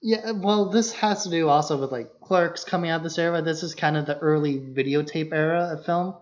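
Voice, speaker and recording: A man co-hosting a podcast, explaining film matters in an informal, casual discussion. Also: on the high side. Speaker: podcast co-host. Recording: dull, muffled lo-fi podcast audio.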